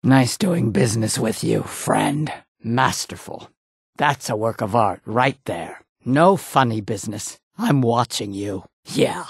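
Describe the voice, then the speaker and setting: Gravelly, slow-talking orc warrior woman. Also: rumbling low, lively intonation, dry recording, clean studio sound, full character voice. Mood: blunt, curt. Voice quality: gravelly, husky. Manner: blunt, curt, menacing. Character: gruff, proud female orc warrior. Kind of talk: video game character voice lines.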